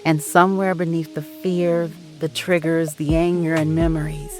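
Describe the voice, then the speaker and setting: A narrator reading a scripted audio drama in a solemn, sentimental, deliberate way. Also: mid-range voice, flat delivery, faint music behind.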